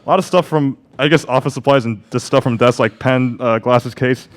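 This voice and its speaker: Fast-talking, easygoing young man. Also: mid-range voice. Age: young adult. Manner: jokey, easygoing. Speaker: student radio performer being interviewed. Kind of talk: casual chat. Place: radio studio. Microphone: studio microphone.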